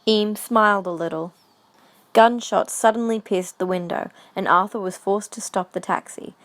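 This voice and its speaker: A woman's voice: mid-range voice, normal speed, a bit bright. A woman reading scripted story narration for an audio drama.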